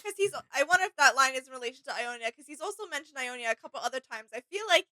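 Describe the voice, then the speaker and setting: A woman chats casually as a reaction co-host, thinking aloud conversationally. Her voice is high and vividly bright, with almost no room sound around it. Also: animated, racing, no echo.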